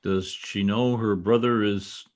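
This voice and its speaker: A man's voice: on the low side, steady tone, takes it slowly, slight background noise. A man playing an earnest, protective state trooper in an old time radio drama, dramatic and reassuring, recorded over a video call. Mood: grave, concerned.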